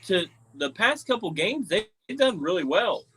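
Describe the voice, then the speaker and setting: Male co-host, slightly hoarse from cheering, easygoing, bright forceful tone. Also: high-pitched, sing-song, moderate pace. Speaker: podcast co-host. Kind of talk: casual sports chat.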